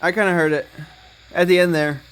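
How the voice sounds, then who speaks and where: Jokey host guy, kinda high voice, noisy audio. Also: steady tone, fairly quick. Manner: joking, teasing. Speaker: podcast host. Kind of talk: casual group call.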